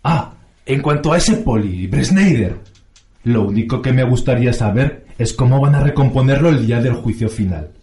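An actor playing a cheerful, simple-seeming but sly antihero, matter-of-fact and comic, in a radio play. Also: male voice, medium pitch, expressive, moderate pace, mellow, lightly acted. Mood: wry. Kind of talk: comic radio theatre acting. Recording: roomy echo with music behind.

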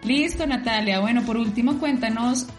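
A woman's voice: a little high, normal speed, roomy sound, soft background music. A Colombian woman interviewing a guest in a casual, upbeat way, full of fillers. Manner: upbeat, fillers like "pues" and "súper". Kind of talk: casual interview over a call.

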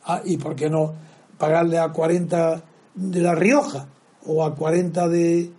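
A man's voice: fairly high pitch, little pitch movement, a little slow, dark tone. An older man giving emphatic, lecturing opinions as a radio commentator.

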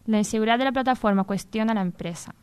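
Clear-voiced woman reading radio news. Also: fairly high pitch, rapid-fire. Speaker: new news contributor on a radio show. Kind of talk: reading news amid casual banter.

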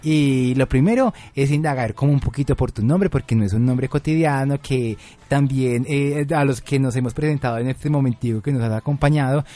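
A man's voice: medium pitch, brisk, hardly any pauses, mellow. A man with a Colombian accent from Medellín, hosting a community radio magazine in a wordy, playful, affectionate manner. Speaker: radio host.

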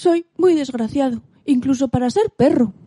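A woman's voice: high-pitched, normal speed, warm tone, breathy edge, dry recording. A woman playing a miserable, plaintive old hunting dog in amateur radio theatre. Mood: mournful, lamenting.

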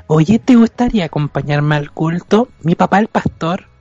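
A woman's voice: low-pitched, expressive, moderate pace, dark tone, breathy edge, dry recording, soft background music. Woman playing a devout young Chilean girl, slightly dull sound. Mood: friendly, inviting. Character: sweet young devout evangelical woman, inviting. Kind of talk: improvised comic radio play.

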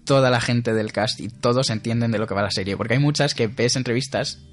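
A man's voice: medium pitch, a little fast, soft background music. A young man, co-hosting a casual podcast chat, rambling with many restarts. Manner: rambling, with frequent restarts and laughter. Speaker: podcast co-host.

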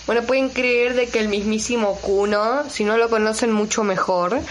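A young woman with a fairly high voice co-hosts a casual radio show. She rambles along in slang and jokes around. There is light noise on the recording. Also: moderate pace, poor audio. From Argentina.

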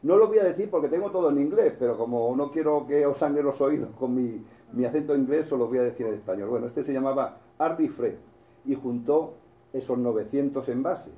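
A man's voice: medium pitch, brisk, echoey room, highs cut off. A man with a Spanish accent from Spain, preaching a sermon in a storytelling way, with restarts and asides to the congregation.

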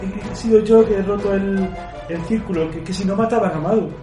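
A man's voice: sits high, fairly quick, lightly acted. A man playing a meek, well-meaning dragonborn bard who speaks hesitantly in a fantasy radio play. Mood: sheepish. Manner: stammering, hesitant. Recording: echoey room, faint music behind.

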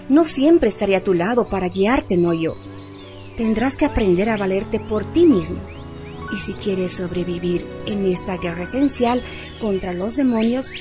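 A woman playing a stern, loving warrior mother in a radio drama, with a solemn, commanding and deliberate delivery. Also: medium pitch, mellow, close to an everyday voice. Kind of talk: dramatic radio serial acting.

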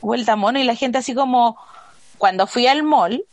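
A woman's voice: on the high side, normal speed, no echo. A young Chilean woman co-hosting a podcast, chatting casually and ranting expressively in heavy slang with a Chilean accent. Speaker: podcast co-host. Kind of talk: casual chat.

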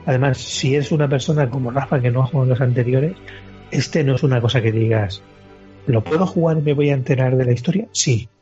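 A man's voice: mid-range voice, fairly quick, mellow. A man from the podcast world with a Spanish accent from Spain, chatting casually and swearing freely about video games as a co-host. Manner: informal, sweary. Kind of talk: casual video game chat. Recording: muffled lo-fi podcast, music underneath.

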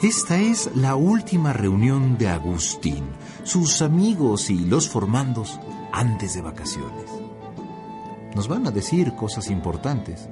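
An actor playing a storyteller guide who addresses the listener directly in dramatic radio drama narration, over music. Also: male voice, medium pitch, big pitch swings, takes it slowly. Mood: inviting, solemn. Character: storyteller guide urging a pilgrim listener to take notes. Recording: music behind the voice.

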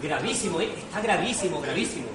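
A man's voice: high voice, lively intonation, quick pace. A man playing a nervous, bumbling impostor fiancé in an amateur stage farce, stammering and repeating himself. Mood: flustered. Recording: distant echoey hall, noisy audience.

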